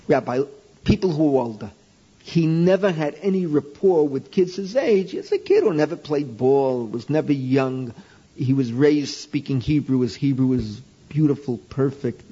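An older man, a rabbi and teacher, telling an anecdote to a class in an animated, informal lecture, raising his voice when he quotes himself, on a dull lo-fi archival tape. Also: medium pitch, breathy edge. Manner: animated anecdotal, voices his own past lines loudly.

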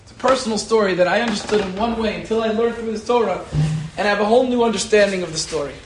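A man, a rabbi, giving an animated Torah lecture and telling a personal story, repeating key phrases for emphasis. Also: high-pitched, very fast, roomy sound, a little hiss or hum. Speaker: rabbi teaching a Torah class.

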